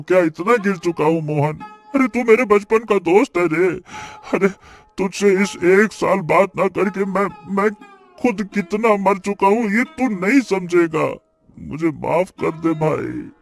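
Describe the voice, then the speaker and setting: A man's voice: high-pitched, a little slow, vocal fry, soft background music. A man voicing a remorseful village hotel owner in a cartoon story, talking emotionally and pleadingly.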